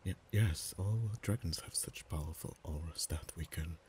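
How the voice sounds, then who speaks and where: A man voicing an ancient, proud, possessive male dragon in an ASMR roleplay, speaking softly and slowly, sometimes in whispers. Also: slight background noise, lightly acted. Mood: musing. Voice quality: very deep, soft-spoken. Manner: slow, measured, drops to whispers.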